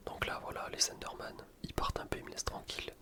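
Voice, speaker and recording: A man speaks entirely in a whisper, as in an ASMR gaming video. He comments on gameplay in casual slang, rambling from one explanation to the next. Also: quick pace.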